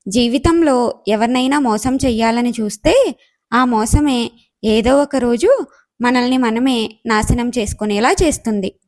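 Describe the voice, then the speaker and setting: A woman narrating a scripted moral story as the storyteller. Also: fairly high pitch.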